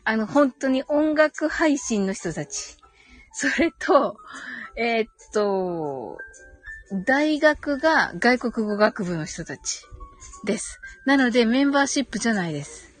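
A woman's voice: on the high side, very animated, unhurried, faint music behind. A woman hosting a live audio stream, chatting casually and politely as she reads and answers comments, with frequent agreeing hums and giggles.

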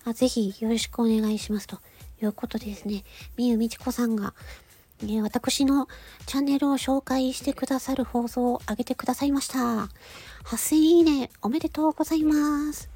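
Casting: female amateur voice actor, very high cutesy nasal tone, polite informal channel chat in short halting bits. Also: steady tone, slightly rough. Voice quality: nasal, stuffy-nosed; cute, cartoon-like voice. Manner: polite, giggly, halting phrases ending in a soft filler. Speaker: amateur voice actor hosting a personal audio channel. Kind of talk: casual chat to listeners.

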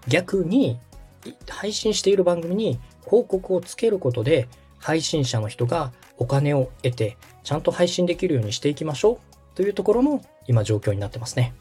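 A man in his thirties from Osaka hosts a podcast and explains things politely, pausing with fillers. His voice is husky and mellow, and his pitch moves a lot. Faint music plays behind him. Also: animated. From Osaka, Japan. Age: 30s. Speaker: podcast host and radio director. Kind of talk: explaining casual chat.

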